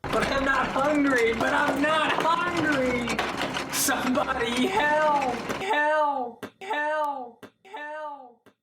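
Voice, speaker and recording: Woman acting as a helpless horror-spoof victim, very slow, high voice, distant and buried in loud noise. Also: fairly even intonation. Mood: pleading. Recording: distant, very noisy, clicks.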